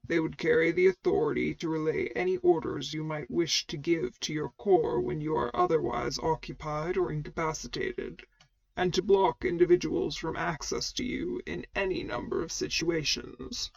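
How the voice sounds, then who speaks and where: A woman voicing a stern, commanding male mentor in armor, with deep gravelly tones and formal, measured speech. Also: fairly even intonation, lo-fi sound. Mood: measured explaining.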